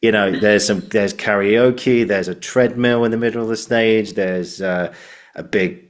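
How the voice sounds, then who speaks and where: A man hosting a casual interview, speaking in a halting, earnest, rambling way. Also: fairly low pitch, fairly even intonation. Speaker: podcast host, interviewer.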